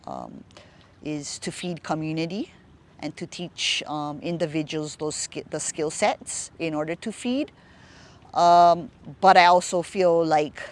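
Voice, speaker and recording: A middle-aged woman with a Hawaiian accent, a fishpond nonprofit director speaking in a reflective interview, unhurried and pausing often with fillers. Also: deep voice.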